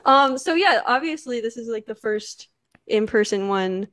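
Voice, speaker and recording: A woman's voice: fairly high pitch, expressive, normal speed, fairly bright tone, no room sound. Bubbly young American woman host. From United States. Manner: bubbly and giggly, with many likes and ums. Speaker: podcast host. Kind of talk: casual interview chat. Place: indoor studio on a sofa. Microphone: handheld dynamic mic on a boom arm.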